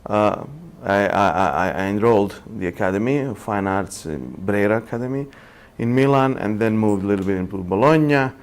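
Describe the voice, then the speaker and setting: A man's voice: fairly low pitch, normal speed, smooth. A man with a Bosnian accent telling his story casually in non-native English, hesitant and wry, repeating words as he goes. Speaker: interviewee.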